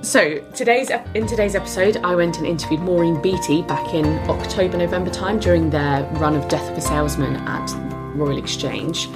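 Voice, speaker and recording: A woman's voice: lowish voice, a little fast, hardly any pauses, music in the background. A woman co-hosting a podcast, giving the intro in an upbeat way. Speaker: podcast co-host and actress.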